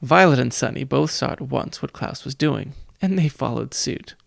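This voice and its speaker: A man reading a children's audiobook, narrating in a measured, deliberate way. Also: medium pitch, lively intonation, gravelly, no room sound, studio quality. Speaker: audiobook reader.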